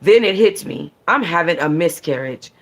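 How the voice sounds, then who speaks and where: A woman reading a novel aloud in an expressive, conversational, sassy way with casual slangy asides, as a book club host. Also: fairly low pitch, normal speed. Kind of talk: expressive first-person audiobook-style reading with casual asides.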